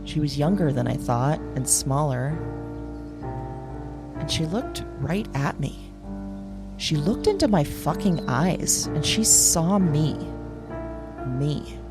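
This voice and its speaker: A woman playing an uneasy, fascinated dreamer who haltingly confides in an audio diary for an audio drama. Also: deep voice, lively intonation, over loud music. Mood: unsettled, intense. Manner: halting, searching, hesitant with pauses and sighs.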